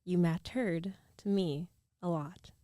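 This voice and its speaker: A woman playing a concerned, teasing young ex-girlfriend in an intimate ASMR roleplay, speaking softly and haltingly into a close microphone. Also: on the low side, dry recording. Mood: concerned, gently chiding.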